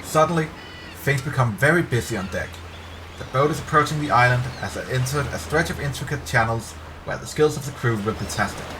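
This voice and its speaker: Middle aged guy reading a story out loud, medium pitch, trips on words now and then, noisy background. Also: brisk. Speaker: streamer reading aloud. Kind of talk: dramatic story read-aloud. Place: indoor room at a streaming desk. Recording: clear background ambience noise.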